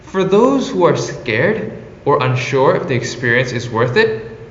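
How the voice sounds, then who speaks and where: A young Indonesian man speaks English with an Indonesian accent, his pitch rising and falling in a lively way. He reads an earnest, prepared reflection. The recording is roomy with a long echo and a slightly dull sound. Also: unhurried, a little hiss or hum. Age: young adult. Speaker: exchange intern. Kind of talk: scripted personal reflection.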